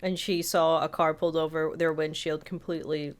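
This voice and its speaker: A woman's voice: low voice, flat delivery, brisk. A woman co-hosting a casual podcast, chatty and given to telling things as quoted back-and-forth. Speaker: podcast co-host.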